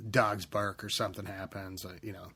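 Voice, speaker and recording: A man's voice: deep voice, little pitch movement, brisk, pushed and bright, husky. A man co-hosting a casual gaming podcast, rambling and restarting his sentences as he chats. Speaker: podcast co-host. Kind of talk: casual chat.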